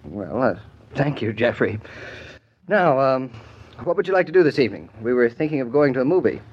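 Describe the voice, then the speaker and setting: A man's voice: medium pitch, sing-song, a little fast, slightly rough. An actor playing a genial husband in his forties in a 1950s radio comedy, with a hesitant, drily amused delivery. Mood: affable. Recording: dull old radio broadcast recording.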